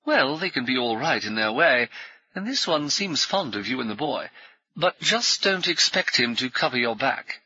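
Guy putting on a loud jolly ex-army officer, bossy, clipped fast talk, husky bright voice, dull dry audio. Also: medium pitch, big pitch swings, no echo, full character voice. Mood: hearty. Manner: swift, clipped speech. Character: hearty ex-cavalry officer, brash, jovial, bossy.

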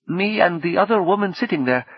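A man's voice: high-pitched, expressive, a little fast, slightly rough, dry recording, highs cut off, pitched above the performer's own voice, exaggerated voice. A man voicing a shy, earnest woman with careful, formal phrasing in an audiobook. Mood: earnest, unsure.

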